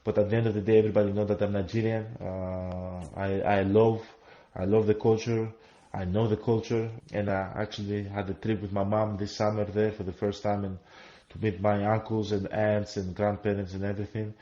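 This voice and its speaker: A man from Greece answering an interview question, halting with restarts and fillers. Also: fairly low pitch, level tone, dark tone. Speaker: athlete. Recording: dull roomy interview clip.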